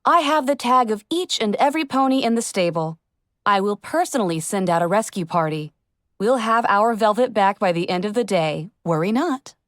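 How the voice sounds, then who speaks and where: A woman's voice: animated, normal speed, no echo, clean studio sound, close to an everyday voice. A woman voicing a commanding mare in charge, official and reassuring in manner, addressing her ponies. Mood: firm reassurance. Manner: booming, official.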